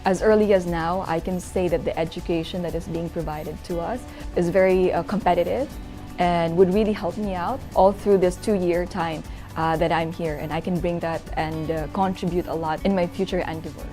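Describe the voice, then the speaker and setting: Young Filipino-accented woman, student testimonial, lowish pitch, mellow tone. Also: steady tone, moderate pace. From Philippines. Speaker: master's student. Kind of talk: promotional testimonial. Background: background music.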